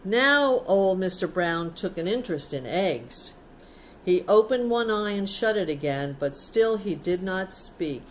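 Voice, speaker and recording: Lady teacher doing story time for little kids, real slow, chatty asides and questions, bit of background noise. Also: mid-range voice, expressive, rough recording. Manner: simple explaining, often asking the young listeners questions. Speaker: teacher reading a picture book to children. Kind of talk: storybook read-aloud with chatty asides to children.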